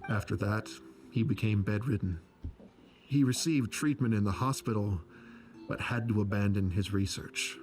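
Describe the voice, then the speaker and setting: A soft-hearted, self-blaming scholar from a video game, played by a man. His voice is on the low side, hesitant and wistful. Music plays clearly beneath his words. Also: moderate pace, natural voice. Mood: reflective, sad.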